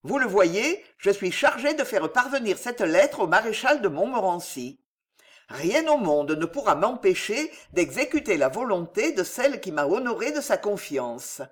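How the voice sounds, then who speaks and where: Woman playing a resolute, animated young chevalier. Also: medium pitch, normal speed. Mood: firm. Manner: formal, polite, questioning. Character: courteous, resolute young chevalier, earnest and probing. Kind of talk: storybook character acting.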